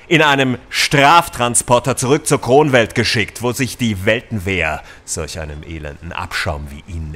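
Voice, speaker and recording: An actor playing a stern, commanding veteran military general with a disdainful, formal manner in a science fiction audio drama. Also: male voice, mid-range voice, a bit bright. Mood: contemptuous, commanding.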